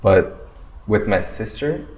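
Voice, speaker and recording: A man's voice: lowish voice, expressive, slow pace, a little mellow. A young man raised in Japan whose first language is Bengali, chatting casually in a laid-back way full of fillers. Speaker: discussion participant. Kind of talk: casual discussion. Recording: roomy echo, faint background hum.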